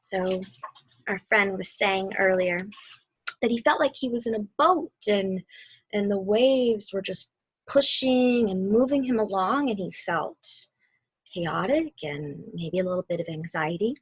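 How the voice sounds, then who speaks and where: Casting: woman, very breathy and soft, slightly high voice, gentle meditation guide for children, close dry sound. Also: lively intonation, no echo, rough recording. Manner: soothing, unhurried, softly spoken. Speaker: mindfulness guide reading a children's book aloud.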